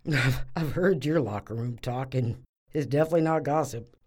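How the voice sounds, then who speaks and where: A woman's voice: very deep voice, normal speed, darkish tone. A woman playing a nosy, wheedling, sarcastic character in a comedic audio drama, coaxing and wry. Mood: wry, amused.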